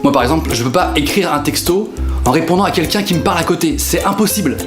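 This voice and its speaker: A man's voice: on the high side, lively intonation, fast talker, over music. Casual self-mocking man, roomy sound. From northern France. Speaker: comedian. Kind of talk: comic sketch narration to camera.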